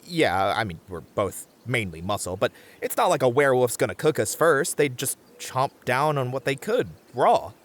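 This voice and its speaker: Muscular rabbit-man camper played by a man: wry, hesitant reasoner, very expressive mid-pitched voice, comedic roleplay. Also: moderate pace, few pauses, natural voice. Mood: indignant, teasing. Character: muscular bunny man camper, sensible, easily flustered monster tracker. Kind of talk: comedic audio roleplay acting.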